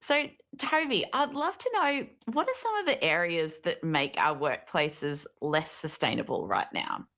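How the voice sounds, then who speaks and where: A woman's voice: low-pitched, very animated, unhurried, vocal fry, hardly any pauses, fairly bright tone. A woman moderating a panel discussion, putting upbeat, inviting questions to each speaker in turn.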